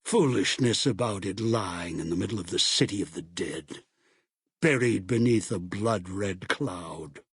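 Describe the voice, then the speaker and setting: An actor playing a world-weary narrator of a doomed legend, with a husky, gravelly voice and an ominous, dramatic delivery. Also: male voice, low voice, fairly bright tone, dry recording, clean studio sound, full character voice. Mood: ominous, brooding. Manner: slow, ominous. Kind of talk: dramatic scripted game narration.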